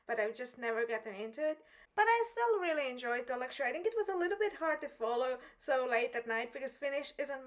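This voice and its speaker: Woman, young adult, high-pitched, vlog voice-over, bubbly, emphatic. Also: a bit bright. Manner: bubbly, repeats "really" for emphasis. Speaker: vlogger.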